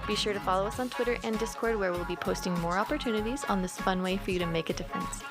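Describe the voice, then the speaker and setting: A woman hosting a podcast, reading a scripted outro with loud music under her voice. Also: mid-range voice, rapid-fire. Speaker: podcast host.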